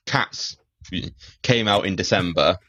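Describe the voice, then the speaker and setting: A man's voice: sits low, slow, bright, forceful tone, no room sound. A young man co-hosting a podcast, chatting casually and rambling with lots of fillers. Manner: rambling, filler-heavy, opinionated. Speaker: podcast co-host. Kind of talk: casual chat. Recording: dull, dry sound.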